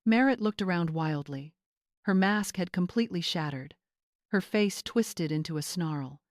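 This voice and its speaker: A woman's voice: fairly low pitch, lively intonation, unhurried, no room sound, studio quality. A woman reading dramatic scripted story narration.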